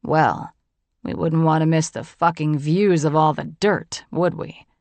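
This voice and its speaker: Woman doing a gruff blunt man. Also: steady tone, unhurried, a little mellow, dry recording. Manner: low and blunt, swearing.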